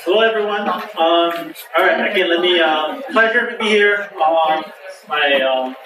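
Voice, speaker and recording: A man's voice: high-pitched, measured pace. A man from China who owns a travel company, speaking upbeat to an audience and asking the room questions. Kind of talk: addressing an audience. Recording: echoey room from far off, crowd behind.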